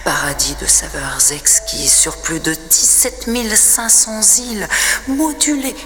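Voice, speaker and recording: Slow, reverberant woman reciting poetry. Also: on the low side, steady tone, over music. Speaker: poet reading own poem. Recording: long reverb, noticeable background ambience.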